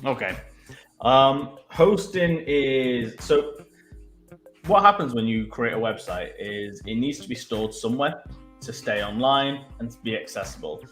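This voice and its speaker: A man with a Mancunian accent, co-hosting a casual business podcast and explaining web development in a conversational, halting way. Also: medium pitch, lively intonation, a bit bright, roomy sound, faint music behind. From Manchester.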